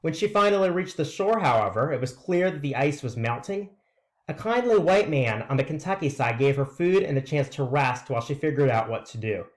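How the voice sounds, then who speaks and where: A man reading aloud in a scripted narration style, presenting a written story to listeners. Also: fairly high pitch. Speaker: reader presenting a read-aloud.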